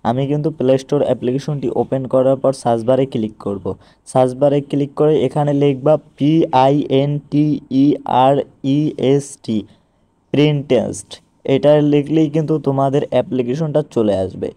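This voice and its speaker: A man's voice: medium pitch, steady tone, normal speed, warm tone. A man with a Bangladeshi accent giving an informal, instructional step-by-step screen walkthrough, repeating each step as he goes. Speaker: tutorial presenter.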